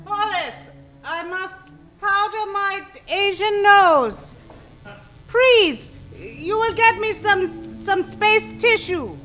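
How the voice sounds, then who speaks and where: Lady doing a sinister seductive dragon lady for a radio comedy, slow and bright, super high. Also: lively intonation, full character voice. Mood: coy. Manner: theatrical, sinister, deliberate. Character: scheming seductive villainous dragon-lady ex-wife. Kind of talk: old-time radio comedy acting.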